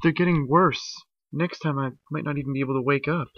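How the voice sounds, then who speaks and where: A man voicing a frightened young female pony, crying out in high, strained pleas. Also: fairly even intonation, brisk, dry recording, recorded from a distance. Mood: sobbing. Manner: high strained cries, pleading. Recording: dull lo-fi sound.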